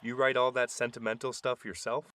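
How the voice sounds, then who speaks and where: An actor playing a friendly alien advisor who teases in a lively way. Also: male voice, mid-range voice, brisk, a little hiss or hum, close to an everyday voice. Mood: teasing.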